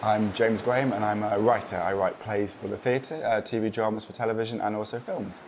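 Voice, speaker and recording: Low-voiced man interviewed as a writer. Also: steady tone, fairly quick, background noise. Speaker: playwright, screenwriter. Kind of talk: promotional interview talk. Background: faint ambience.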